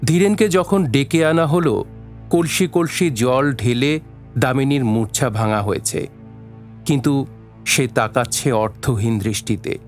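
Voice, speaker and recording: A man's voice: mid-range voice, unhurried, soft background music. A man narrating a scripted story for an audio drama, in a literary storytelling style.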